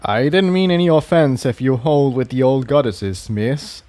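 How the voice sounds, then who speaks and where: A man's voice: medium pitch, normal speed, darkish tone, lightly acted. A man voicing a polite, friendly male refugee pony in a fantasy storybook reading. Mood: apologetic.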